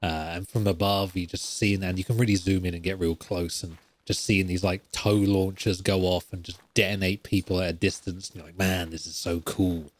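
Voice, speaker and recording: A man's voice: low-pitched, fairly even intonation, normal speed, few pauses. A man hosting a gaming podcast, talking in a casual, rambling, self-deprecating way as he thinks aloud.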